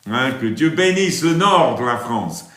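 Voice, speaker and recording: A preacher from England with a British accent, leading a worship livestream. He sings drawn-out hymns and breaks into brisk, chatty replies to viewers. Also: medium pitch, big pitch swings, fairly bright tone, echoey room. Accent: British English.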